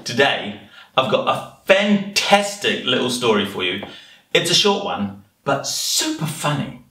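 A middle-aged man addresses young children as a storyteller, with exaggerated expression. His voice is bright and hugely varied in pitch, picked up from a little distance in a small room. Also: sing-song, measured pace. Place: small indoor room with bookshelf and toys. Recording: roomy, slightly distant home recording.

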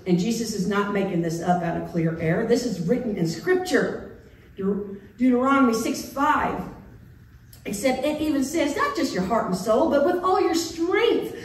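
A middle-aged woman pastor preaching a sermon in a church sanctuary, playful and animated, acting out the voices of biblical figures. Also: a little high, moderate pace. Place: church sanctuary with organ pipes. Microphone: far camera in the pews. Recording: echoey church from a distance.

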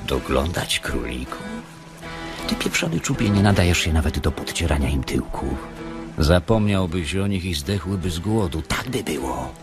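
An actor in a radio play voicing a cruel, scolding imaginary giant rabbit with a taunting, scornful manner. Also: male voice, low voice, very animated, moderate pace. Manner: taunting, foul-mouthed, scornful. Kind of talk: radio drama acting. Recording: music behind.

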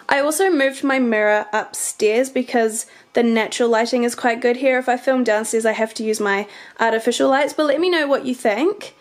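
Chatty New Zealand woman with a cold. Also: a little high. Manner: chatty, relaxed, upbeat. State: hoarse and congested from a cold. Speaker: fashion vlogger and teacher. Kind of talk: casual outfit vlog. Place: bedroom with a mirror, indoors. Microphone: camera's built-in mic, filmed in the mirror.

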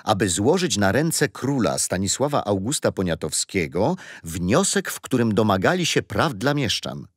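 A man's voice: on the low side, sing-song, normal speed, few pauses, slightly rough, no room sound, studio quality. An actor playing a kindly older grandfather who patiently tells stories and history in a children's radio play. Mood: patient, explaining. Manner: patient, playful teasing. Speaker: storyteller grandfather.

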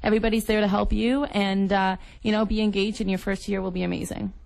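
Fast-talking young woman with some creak. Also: medium pitch, fairly even intonation, a little mellow, low-quality recording. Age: young adult. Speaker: interview guest, student union representative. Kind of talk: casual spoken interview. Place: radio studio.

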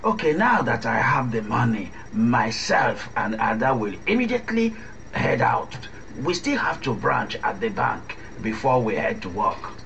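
Radio drama: fatherly middle-aged Nigerian man, placating, gravelly, on a slightly muffled recording. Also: mid-range voice, sing-song, a bit bright, full character voice. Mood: fatherly, hurried. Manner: soothing, paternal, measured. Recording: dull, faintly noisy recording.

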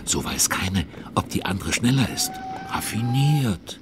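A man's voice: on the low side, big pitch swings, unhurried, noisy background. A man playing a chatty, curious narrator in a children's radio play. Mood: amused, curious. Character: chatty, curious male storyteller commenting on the action.

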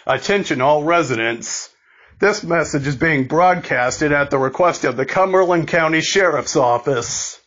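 Slow, halting male emergency announcer. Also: fairly high pitch, animated, a bit bright, muffled recording. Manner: formal, deliberate, halting with repeated words. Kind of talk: scripted emergency broadcast announcement, amateur reading.

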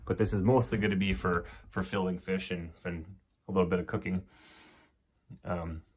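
A young man with a deep voice talks casually in a small wooden shack. His delivery stays flat and even, full of fillers and restarts as he shows the place on a handheld phone. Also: normal speed, breathy edge. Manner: relaxed, rambling, frequent restarts and fillers. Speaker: vlogger. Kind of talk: casual walkthrough tour. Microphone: handheld phone camera at arm's length.

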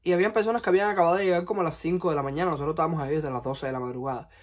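A young man in his twenties from Cuba, speaking with a Cuban Spanish accent, telling a personal story to the camera in his bedroom with lively, expressive delivery. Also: a little high, rapid-fire. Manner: animated, expressive, gesturing with hands. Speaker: vlogger. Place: small bedroom, indoors. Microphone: camera in front at close range.

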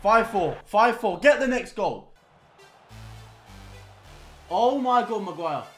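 A young man commentating on his own gameplay at home. His voice sits high and swings wildly, jumping from low muttering to sharp yelps. The room rings with echo, and faint game music plays underneath. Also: slow. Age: young adult. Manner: excitable, loud outbursts at goals, muttering between plays. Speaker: gaming content creator. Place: home gaming room indoors.

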